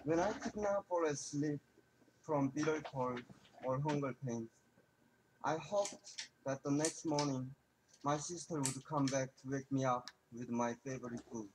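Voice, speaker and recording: Young adult male. Measured, earnest prepared speech. Faint background noise. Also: mid-range voice. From North Korea. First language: Korean.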